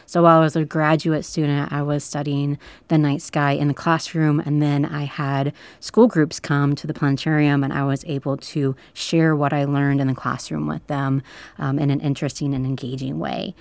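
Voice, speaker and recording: Calm woman in her thirties, low soothing voice, very dry mic. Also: normal speed, vocal fry, dry recording. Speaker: planetarium director. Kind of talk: calm interview talk. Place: planetarium dome.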